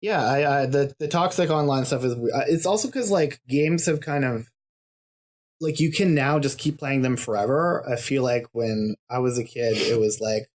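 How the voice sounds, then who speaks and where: A man talking as a podcast guest in casual chat, halting and restarting, laughing through his points. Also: mid-range voice.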